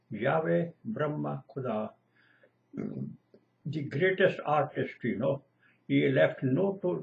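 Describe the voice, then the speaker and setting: An older man, a retired lecturer whose first language is Punjabi, slowly and earnestly expounding scripture at home. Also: on the high side, vocal fry. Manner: slow, earnest, lecturing, repeats lists of divine names. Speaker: retired lecturer giving Bible exposition. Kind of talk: home-video scripture teaching. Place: small room indoors at home. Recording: dull lo-fi webcam audio.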